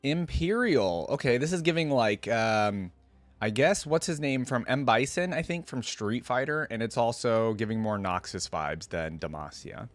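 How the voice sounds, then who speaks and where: A man's voice: medium pitch, expressive, normal speed, no room sound, faint music behind. A man giving casual, informal reaction commentary as an online host, musing and thinking aloud as he goes.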